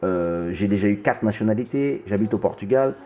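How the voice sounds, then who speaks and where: A man's voice: on the low side, a little fast, dark tone. A man from Congo hosting a casual chat, talkative and often stumbling and restarting his sentences. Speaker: podcast host.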